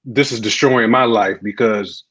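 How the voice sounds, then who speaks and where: A man's voice: fairly low pitch, measured pace, a bit bright. A man on a podcast panel giving opinions in a casual, colloquial way.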